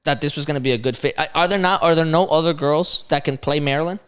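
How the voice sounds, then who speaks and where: A man's voice: fairly high pitch, quick pace, a bit bright, clear, resonant tone. A young man with a Texan accent, chatting as a podcast co-host, agreeing often with "yeah, yeah". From Texas. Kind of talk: casual chat.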